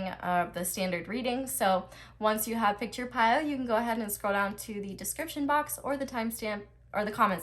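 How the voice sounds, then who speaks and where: A woman's voice: mid-range voice, a bit bright. A woman with a stuffed-up nose hosting a casual tarot reading, chatty and rambling, with nervous laughs and fillers. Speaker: tarot reader, channel host.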